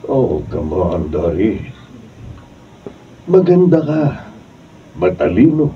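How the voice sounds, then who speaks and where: A man's voice: low-pitched, big pitch swings, takes it slowly, exaggerated voice. A man performing in a Filipino radio drama as narrator and actor, with a dramatic delivery. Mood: soothing. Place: radio drama recording studio. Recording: muffled, distant, faintly noisy studio capture.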